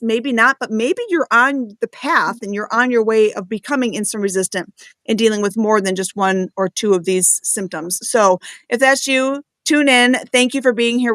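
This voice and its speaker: A woman's voice: a little high, a bit bright, no echo. A woman hosting a live stream, introducing guests in a chatty, slightly hesitant way. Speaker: host.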